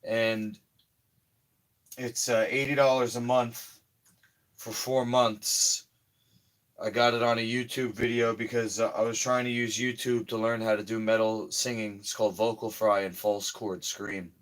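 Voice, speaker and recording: Hoarse, slow-talking man. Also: lowish voice, level tone. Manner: slow, rambling, trailing off quietly. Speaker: health vlogger. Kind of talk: casual rambling vlog talk.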